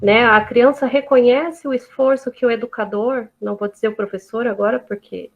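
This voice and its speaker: A woman's voice: fairly high pitch, fairly even intonation, moderate pace, faint music behind. A woman teacher answering a parent on a video call, reassuring and often adding tag questions. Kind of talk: online talk answering questions. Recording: tinny phone-like audio.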